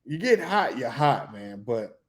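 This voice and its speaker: A man, talking loosely and excitedly about basketball as a podcast co-host in casual chat. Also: mid-range voice, unhurried. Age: 40s.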